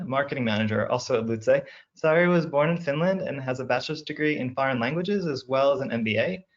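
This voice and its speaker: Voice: medium pitch, highs cut off. A man hosting an online career webinar, reading prepared remarks and introductions with a few repeated words. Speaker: webinar host.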